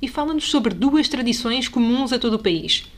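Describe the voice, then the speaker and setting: A woman's voice: a little high, lively intonation, a little fast. A woman with a European Portuguese accent, presenting scripted narration as a podcast host.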